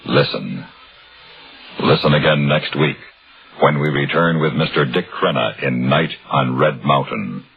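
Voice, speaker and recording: A man announcing a radio drama in a formal, measured, portentous 1950s scripted style. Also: very deep voice, creaky, breathy edge. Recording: dull old radio broadcast recording. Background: music.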